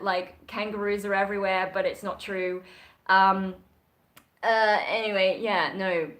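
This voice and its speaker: A young woman with an Australian accent talks casually through a livestream, reading viewer comments aloud and answering them as she goes. Her voice sits at a middle pitch, and she rambles with plenty of fillers. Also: fairly bright tone. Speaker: YouTuber.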